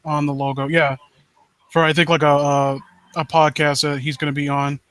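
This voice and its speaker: Bright-voiced man rambling casually on stream. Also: on the high side, little pitch movement, clear, resonant tone, dry recording. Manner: rambling, halting, self-interrupting. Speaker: livestream host. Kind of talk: casual live stream chat.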